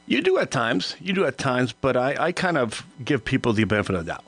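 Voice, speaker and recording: A man in his fifties, from Cuba, chatting as a guest on a radio show, relaxed, laughing easily and stammering on his starts. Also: mid-range voice, brisk, creaky. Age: 50s. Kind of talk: casual radio interview.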